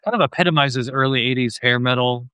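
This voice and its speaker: A man's voice: medium pitch, fast, sharp tone, clean tone. A man hosting a podcast, chatting casually about pop music. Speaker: podcast host.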